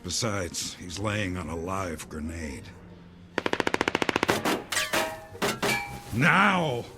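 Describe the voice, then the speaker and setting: A low-pitched man , an actor plays a weary scientist who knew the end was coming. He explains things bluntly and with resignation in a dramatic scene, over clear background music. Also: big pitch swings, slow, full character voice. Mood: grim, resigned. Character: weary, fatalistic male insider scientist facing the apocalypse. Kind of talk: dramatic acting.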